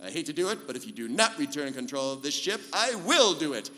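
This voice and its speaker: Casting: male actor as resolute pulp space captain, bright and forceful, animated delivery, recorded in a hall. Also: on the high side, fast talker. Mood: firm, threatening. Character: resolute male spaceship hero, firm, stubborn leader. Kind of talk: live comedic sci-fi radio play in 1940s serial style. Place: convention hall stage before live audience. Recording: echoey live hall, humming background noise.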